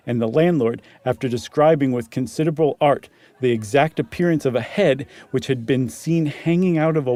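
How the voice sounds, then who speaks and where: A man's voice: mid-range voice, dark tone, no room sound. A man co-reading and narrating a horror short as a dramatic story. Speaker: narrator and co-reader.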